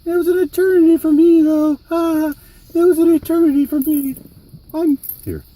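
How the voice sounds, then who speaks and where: A man voicing a clingy, melodramatic little dog gushing at his owner in drawn-out words. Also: extremely high pitch, level tone, dark tone, pitched above the performer's own voice. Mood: gushing, needy. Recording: car noise, distant mic.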